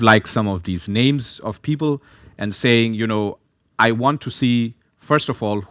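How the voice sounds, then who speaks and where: A man's voice: medium pitch, a bit bright, dry recording, studio quality. A man from Bangladesh in his thirties, a data science team lead, giving a talk and explaining steadily with frequent "uh" fillers. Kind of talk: presentation talk.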